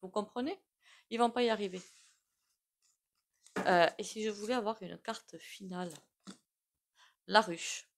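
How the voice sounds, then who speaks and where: A woman giving a casual, rambling tarot reading, full of fillers and frequent throat clearing. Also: medium pitch, unhurried, long pauses, slight background noise. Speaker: tarot reader.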